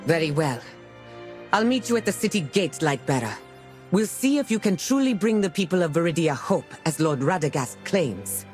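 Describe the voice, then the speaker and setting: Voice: medium pitch, big pitch swings, moderate pace, faint music behind, lightly acted. An actor playing a stern, proud envoy warrior in a video game, speaking formally and curtly. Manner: formal, curt, commanding. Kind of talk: dramatic video game cutscene acting.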